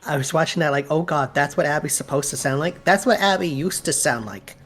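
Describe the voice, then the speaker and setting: A woman's voice: low-pitched, a little fast, a little hiss or hum. A woman from Canada with a Toronto-Hamilton accent, co-hosting a stream and teasing her friends with a laugh. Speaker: stream co-host. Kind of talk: casual gaming chat.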